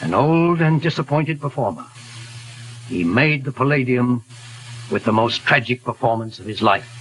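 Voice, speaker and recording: An actor playing a steady, kindly older detective with a measured, reassuring manner in 1940s radio style. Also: male voice, medium pitch, lightly acted, low-quality recording. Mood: solemn. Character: kindly, steady older male investigator, solemn. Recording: hissy 1940s radio recording, faint music.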